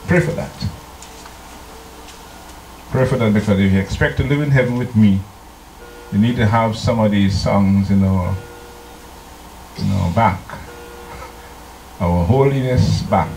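An older man from Trinidad, a pastor preaching a slow, deliberate sermon into a handheld microphone in a church, now and then singing hymn lines. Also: fairly low pitch, a little mellow, faint music behind. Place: church sanctuary. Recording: echoey church room.

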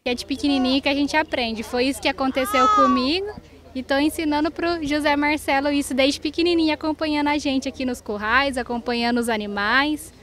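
A woman's voice: high voice, steady tone, fairly quick, a crowd in the background. A young woman, a breeder, cheerfully answering an interview outdoors at a cattle fair. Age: young adult. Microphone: handheld mic.

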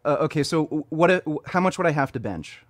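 A man's voice: on the high side, animated, fast. A man in his early thirties who argues for a living online, debating on a video call in a sarcastic, argumentative way. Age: 30s.

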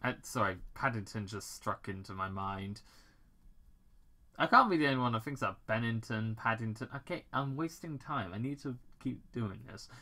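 A man's voice: mid-range voice, lively intonation, moderate pace, pushed and bright. A man reading fan fiction aloud informally, stumbling over words and breaking into chatty asides.

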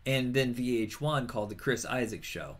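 A man's voice: mid-range voice, normal speed. A man co-hosting a casual music podcast, talking keenly and knowledgeably about an artist. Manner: enthusiastic, informative, music-fan talk. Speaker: podcast co-host. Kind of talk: casual chat.

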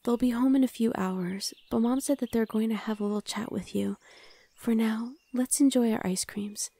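A young woman voicing a gentle older sister in an ASMR roleplay, speaking softly and half-whispered to comfort a younger sibling. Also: a little high, fairly even intonation, moderate pace, dry recording. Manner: soft, half-whispered, reassuring. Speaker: voice actor.

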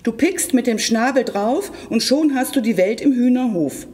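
Storyteller voicing a proud, animated little hen. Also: medium pitch, moderate pace, voice pushed up, lightly acted. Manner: self-assured, declaring. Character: proud, tech-loving hen in a children's story.

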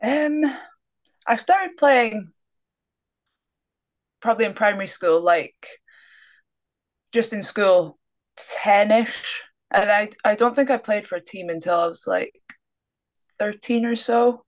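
A woman's voice: medium pitch, expressive, slow pace, pauses often. Scottish woman, young adult, laid-back interview guest over video call. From Scotland. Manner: easygoing, laughs often, trails off. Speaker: guest basketball player. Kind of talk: casual interview. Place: small room indoors.

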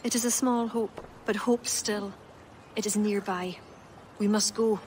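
An earnest young Irishwoman, acted by a woman in a video game cutscene. Her pitch is middling and her manner sombre and caring. There is faint ambient sound around the voice. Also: fairly even intonation, a little slow, darkish tone, slight background noise, lightly acted. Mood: hopeful, earnest.

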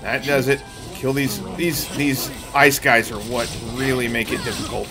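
Male gaming streamer, middle-aged, mid-range pitch. Casual, explanatory play-by-play over prominent game music. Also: steady tone, moderate pace. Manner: explanatory, talking through play to viewers. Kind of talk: casual live gameplay commentary. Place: indoor room at home. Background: video game music.

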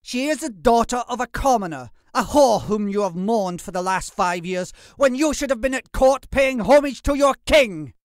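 A man's voice: mid-range voice, measured pace, hardly any pauses, darkish tone, no echo, studio quality, natural voice. A man voicing an arrogant, contemptuous English lord with a haughty, sneering delivery in an audiobook. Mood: venomous. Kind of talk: audiobook character acting.

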